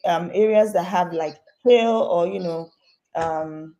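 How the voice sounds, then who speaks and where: A woman with a lowish, mellow voice hosts an interview and turns to address her viewers directly. Her questions wander a little, with frequent "um" fillers. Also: takes it slowly. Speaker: real estate host. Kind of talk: interview hosting.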